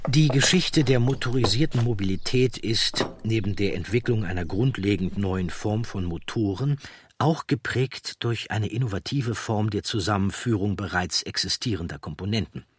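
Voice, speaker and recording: Male documentary narrator, low and slightly rough voice, deliberate scripted delivery. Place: dry studio.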